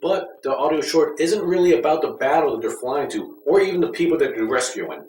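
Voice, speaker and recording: A man's voice: a little high, expressive, brisk, a little mellow. Distant roomy recording, male reviewer, casual commentary, conversational, faint background noise. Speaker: audio drama reviewer.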